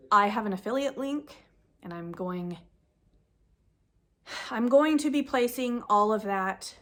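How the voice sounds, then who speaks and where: A woman's voice: mid-range voice, a bit bright. A woman vlogging casually about knitting, sniffly from the cold, chatting in a rambling way that corrects itself as she goes. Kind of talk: casual chat to camera.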